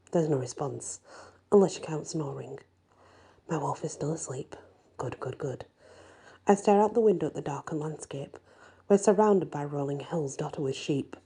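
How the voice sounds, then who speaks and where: A woman reads a first-person fantasy story in an ASMR style, her voice soft, husky and breathy. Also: low-pitched. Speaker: audiobook-style story reader.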